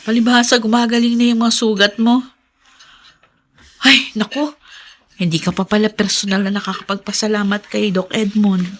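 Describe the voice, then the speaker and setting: Girl acting filipina in radio drama, mid pitch, fussy and caring, teases a bit. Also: level tone, normal speed, pauses often, a bit bright, lightly acted. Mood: teasing, caring. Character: caring, playfully scolding young woman.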